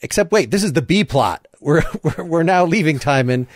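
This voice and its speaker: A man's voice: on the high side, animated, moderate pace, dry recording, studio quality. A man hosting a play reading, announcing stage directions and adding casual asides.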